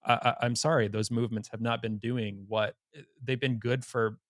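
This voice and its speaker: A man's voice: medium pitch, steady tone, moderate pace, no echo, studio quality. A man hosting a podcast in an informal, blunt conversational manner. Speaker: podcast host. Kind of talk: conversational interview.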